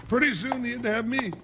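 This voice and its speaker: An actor playing a comic, easily bewildered cowboy deputy in a 1950s radio western, with an American folksy drawl and a gravelly voice. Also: male voice, extremely high pitch. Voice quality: creaky, gravelly. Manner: folksy drawl with cowboy slang and bad grammar. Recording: noisy old 1950s radio recording.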